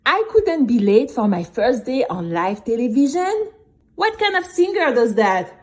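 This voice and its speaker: A woman playing a nervous, chatty young girl who tells her story in a conversational, expressive way. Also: on the high side, unhurried. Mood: anxious, animated. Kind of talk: first-person scripted audio drama narration.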